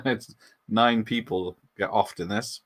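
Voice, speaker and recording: A man's voice: on the low side, steady tone, takes it slowly, fairly bright tone. A man hosting a casual live watch party, rambling and chatting about films, laughing often and answering his viewers. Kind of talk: casual live movie commentary.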